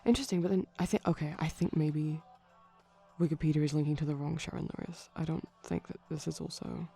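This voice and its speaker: A man speaking softly in a chatty, rambling ASMR style, often dropping to a whisper, digressive and tentative. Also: on the high side, steady tone, brisk, gravelly, breathy edge, soft background music. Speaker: ASMR performer. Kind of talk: soft-spoken rambling ASMR commentary on a film. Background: TV film audio playing in background.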